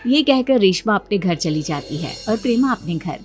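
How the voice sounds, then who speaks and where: A woman's voice: mid-range voice, expressive, normal speed, faint music behind. A woman reading scripted folk-tale narration as a storyteller.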